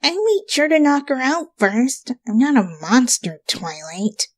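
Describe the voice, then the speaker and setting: A woman's voice: high voice, sing-song, unhurried, no echo, clean studio sound, voice pushed up, heavily acted. A woman voicing a brash, lazy, unrepentant young female pony in a story reading, casual and blunt. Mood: unbothered.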